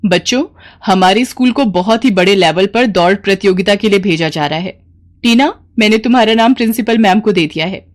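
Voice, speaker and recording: A woman's voice: mid-range voice, brisk, close to an everyday voice. Woman playing a formal schoolteacher, slightly raised voice. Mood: announcing. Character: blunt female school class teacher.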